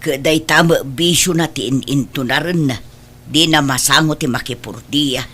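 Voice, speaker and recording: A woman's voice: sits low, normal speed, fairly bright tone, breathy edge. A woman playing a gossipy, disapproving older village mother in an audio drama, with an Ilocano accent. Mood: gossipy disapproval.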